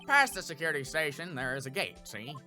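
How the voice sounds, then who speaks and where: A man voicing a gruff, folksy police detective from a video game, blustering through a case briefing. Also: on the high side, very animated, fairly quick, pushed and bright, faint music behind, full character voice. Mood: matter-of-fact briefing. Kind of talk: video game character dub.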